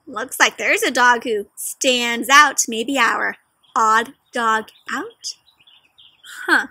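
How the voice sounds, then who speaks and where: A young woman reads a picture book aloud for small children in a high, strikingly bright voice. She is animated and teacherly, pausing to put questions straight to her young listeners. The sound is dry and close, with very little echo. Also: breathy edge. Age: young adult. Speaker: children's read-aloud host. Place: outdoors in a leafy garden.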